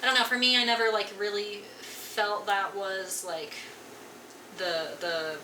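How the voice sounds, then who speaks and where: A woman's voice: medium pitch, a little slow. A young woman musician from Colorado, chatting casually in an interview with lots of "like" fillers. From Colorado, USA. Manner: relaxed, filler-heavy, frequent "like". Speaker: guest musician. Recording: echoey room, distant mic, noisy.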